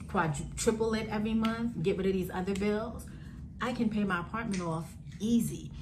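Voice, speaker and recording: A woman in her forties chatting frankly to camera at home, addressing viewers as y'all and jumping up in pitch now and then. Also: slight background noise. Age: 40s. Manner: lively, with sudden jumps up in pitch. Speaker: vlogger. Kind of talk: candid casual chat to camera.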